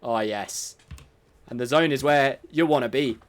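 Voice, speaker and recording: A man's voice: mid-range voice, unhurried. An Irish man casting a live esports match in an upbeat way, laughing often. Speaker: esports caster. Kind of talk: live play-by-play commentary.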